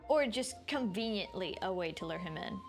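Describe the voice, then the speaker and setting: A woman's voice: medium pitch, lively intonation, normal speed, gravelly. A woman streaming a video game, reading its text aloud and commenting casually. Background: game music.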